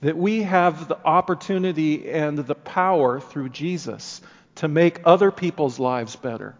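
A man preaching a sermon in earnest, building emphasis and then dropping to quieter passages. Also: fairly high pitch, a little slow, long reverb tail, dull audio. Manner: earnest, rising and falling emphasis.

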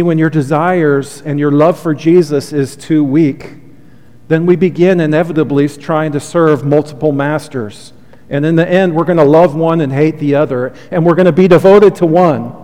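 A man's voice: a little high, steady tone, moderate pace. Soothing-voiced guy preaching a heartfelt sermon, church reverb trailing off. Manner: earnest, heartfelt preaching with soft confessional asides. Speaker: pastor. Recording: long hall reverb.

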